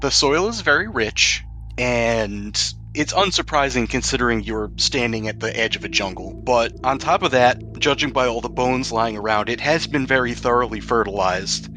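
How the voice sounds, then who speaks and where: A man's voice: mid-range voice, moderate pace, pushed and bright, soft background music. A man speaking as game master, narrating a tabletop role-playing game in a casual, conversational, jokey way.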